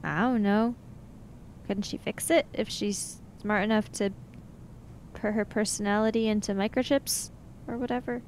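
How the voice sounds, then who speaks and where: A woman's voice: mid-range voice, fairly even intonation, normal speed, darkish tone, slight background noise. A woman playing a tabletop role-playing game, talking casually in a matter-of-fact, argumentative way. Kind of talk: casual in-character game discussion.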